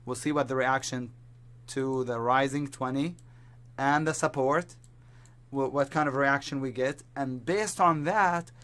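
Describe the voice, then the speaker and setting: A man giving market commentary whose voice is strained from teaching, talking in a halting way with many restarts. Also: medium pitch, fairly bright tone. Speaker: market analyst.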